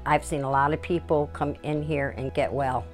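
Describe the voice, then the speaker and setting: A woman's voice: deep voice, steady tone, normal speed. A plainspoken woman giving a personal testimonial as a client. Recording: music playing under the voice.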